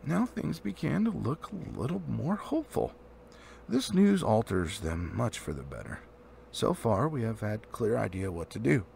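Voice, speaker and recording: Man playing a proud, gravelly dwarf leader. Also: medium pitch, big pitch swings, moderate pace, a little mellow. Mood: doubtful, grave. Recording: faint background noise.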